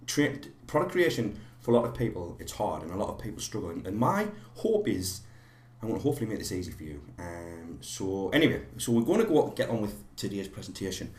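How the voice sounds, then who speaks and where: A man with a slightly hoarse voice from a cold, teaching a live online course in a rambling way with many fillers and restarts. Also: on the low side, sing-song, brisk, echoey room.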